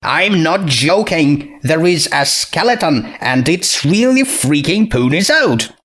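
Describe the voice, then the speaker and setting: A man's voice: a little high, very animated, slow pace, a bit bright, pitched above the performer's own voice, full character voice. A man voicing a brash, agitated young female pegasus pony with a scratchy voice and a blunt, insistent manner, in a storybook reading.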